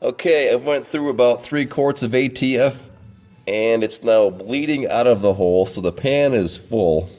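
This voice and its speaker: A man's voice: mid-range voice, a little mellow, faint music behind. A man working as a mechanic, explaining a repair step by step while congested from a slight cold. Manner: plain, unhurried how-to explaining. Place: auto repair shop.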